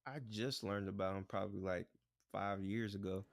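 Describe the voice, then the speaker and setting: A man co-hosting a podcast in relaxed, rambling casual chat, often saying "bruh" and "like". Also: fairly low pitch, moderate pace, no room sound, studio quality. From United States. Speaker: podcast co-host.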